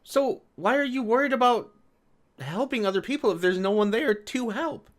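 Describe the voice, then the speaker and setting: A man's voice: very high voice, expressive, moderate pace. A man giving casual reaction commentary on an article, sarcastic and exasperated, swearing freely. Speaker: video commentator.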